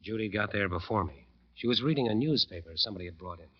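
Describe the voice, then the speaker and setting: A man's voice: lowish voice, lively intonation, fast, husky. An actor playing a cocky, hard-boiled American jazz bandleader who narrates his eerie story in a 1940s radio drama. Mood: excited. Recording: muffled old radio recording with music.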